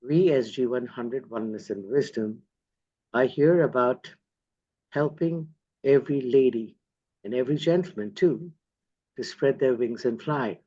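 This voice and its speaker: A man's voice: mid-range voice, a little slow, warm tone, dull audio. A man hosting an online interview over a video call, enthusiastic and effusive. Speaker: host.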